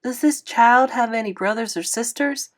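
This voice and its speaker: A woman's voice: a little high, normal speed, voice pushed up, close to an everyday voice. A woman doing a fussing, motherly lady. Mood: indignant. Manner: warm, animated, fussing. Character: fussing motherly woman, kind but scolding.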